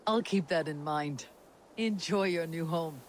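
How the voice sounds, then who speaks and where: A woman's voice: low-pitched, a little hiss or hum. A woman playing a proud, stoic Viking warrior leader in a video game, speaking tersely and plainly. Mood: calm, reserved. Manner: terse, measured, matter-of-fact. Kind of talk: video game character acting. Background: game music.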